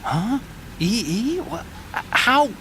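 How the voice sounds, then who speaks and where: A nervous, earnest engineer in a game drama. His pitch moves around a great deal as he talks haltingly and with agitation, with slight noise behind. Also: big pitch swings, pushed and bright, full character voice. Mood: uneasy. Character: anxious, emotional male engineer, estranged older brother. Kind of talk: video game voice acting.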